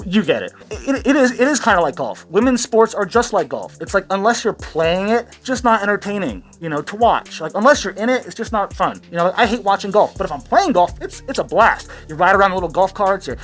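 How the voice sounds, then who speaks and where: A man's voice: high voice, big pitch swings, a little fast, hardly any pauses, fairly bright tone, soft background music. A man hosting a satirical commentary show, ranting in a sarcastic, rambling way, swearing freely and amusing himself. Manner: sarcastic, rambling, self-amused, swears a lot. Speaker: host of a satirical commentary channel. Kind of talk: profane satirical rant.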